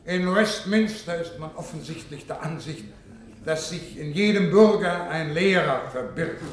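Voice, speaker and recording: A man's voice: on the high side, lively intonation, a little slow. An actor playing an officious male ministry director in a German radio play, making formal bureaucratic announcements in an echoey room. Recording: echoey hall sound.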